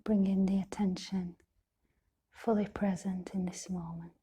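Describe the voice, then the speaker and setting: A woman guides a meditation in a very dark, mellow voice, soft and half-whispered. Her delivery is slow and nearly flat in pitch, with gentle, soothing phrases set apart by long pauses. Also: breathy edge. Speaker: meditation teacher.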